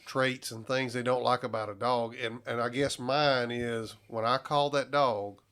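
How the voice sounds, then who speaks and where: A man's voice: mid-range voice, fairly even intonation, a little slow, fairly bright tone, clear, resonant tone. Folksy man chatting. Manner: folksy, plainspoken. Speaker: coon hound breeder on a hunting podcast. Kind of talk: casual chat.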